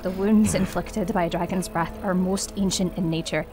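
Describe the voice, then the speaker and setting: A woman playing a somber, resolute female druid healer, speaking gravely and earnestly in a dramatized fantasy audiobook. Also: lowish voice, steady tone, moderate pace, music in the background, close to an everyday voice. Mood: grave, frustrated.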